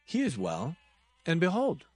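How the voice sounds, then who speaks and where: An actor playing a plain, helpful biblical shepherd in a dramatized scripture reading, speaking formally. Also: male voice, on the high side, huge pitch swings, a little slow, a little mellow, full character voice.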